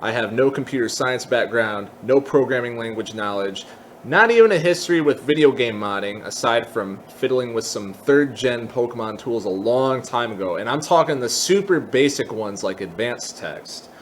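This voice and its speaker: A man's voice: medium pitch, animated, normal speed, slight background noise. A man narrating a tutorial in a conversational, explanatory way. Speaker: tutorial presenter.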